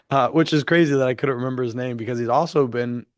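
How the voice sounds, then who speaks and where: A man's voice: medium pitch, a little fast, no room sound, studio quality. A man co-hosting a podcast, chatting in an enthusiastic, slangy and jokey way, often saying "dude". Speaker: podcast co-host. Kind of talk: casual enthusiastic chat.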